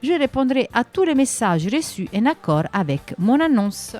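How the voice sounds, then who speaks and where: Woman radio host, a bit high-pitched, friendly and loose, reads listener ads and news items. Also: sing-song, normal speed, a little mellow, soft background music. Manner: friendly, conversational, with frequent hesitation fillers.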